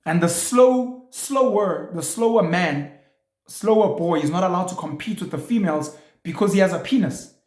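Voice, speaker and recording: Male host, slightly high voice, provocative and emphatic monologue, echoey room. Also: lively intonation, normal speed. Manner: provocative, rhetorical questions, emphatic. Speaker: show host. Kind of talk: opinionated monologue.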